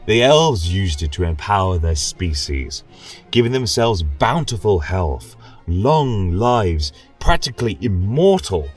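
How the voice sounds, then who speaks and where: A man's voice: sits low, big pitch swings, slow pace, breathy edge, faint music behind, heavily acted. A man playing a genial, rambling lecturer at a college of magic, speaking in a flowery, coaxing way in a scripted fantasy audio drama. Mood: encouraging. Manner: flowery, gently coaxing, chuckling scholar.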